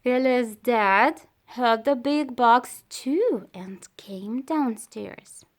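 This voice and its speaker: Very slow, higher-pitched woman reading a story. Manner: very slow, deliberate, pausing between phrases. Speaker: storybook reader. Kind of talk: children's story read-aloud.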